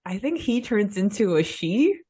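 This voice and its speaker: A woman's voice: mid-range voice, big pitch swings, a little slow, warm tone, slightly rough, dry recording, studio quality. A woman co-hosting a fan podcast, chatting casually and enthusiastically. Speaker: podcast co-host.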